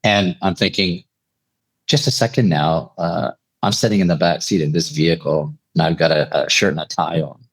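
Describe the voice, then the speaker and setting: A man from Eastern Canada speaking as an interview guest, telling anecdotes in a conversational, measured and reflective way. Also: low-pitched, fairly even intonation, creaky, slightly rough, no room sound, low-quality recording. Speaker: interview guest, air traffic controller telling stories.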